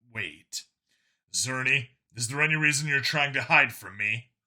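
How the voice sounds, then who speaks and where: A man's voice: mid-range voice, expressive, a little slow, pushed and bright. A man voicing a blunt, sardonic human policeman stranded among aliens in a science fiction audiobook, insistent and plain-spoken. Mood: wary, irritated.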